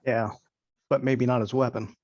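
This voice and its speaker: A man's voice: mid-range voice, flat delivery, normal speed, a little mellow, dry recording. A man talking casually as a player in a tabletop game, on slightly muffled audio.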